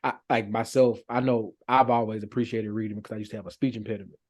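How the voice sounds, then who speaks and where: A man's voice: on the low side, flat delivery, fast talker, darkish tone. A man speaking casually on a podcast, explaining his thoughts in a loose, rambling, conversational way. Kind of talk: casual chat.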